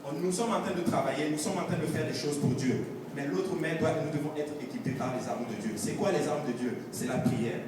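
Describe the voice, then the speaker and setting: A man's voice: fairly high pitch, fairly quick. A man delivering an earnest church sermon, exhorting listeners with testimony, scripture readings and repeated refrains. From outside Canada. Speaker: preacher. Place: large reverberant church hall with congregation. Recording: noisy echoey hall, far from mic.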